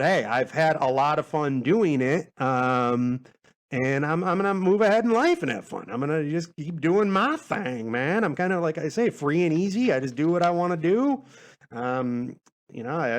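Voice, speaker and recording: A man with a slightly high-pitched voice talks as a podcast host. His delivery is informal and conversational, rambling along in a laid-back way as he speaks directly to listeners. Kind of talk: casual rambling solo podcast sign-off chat.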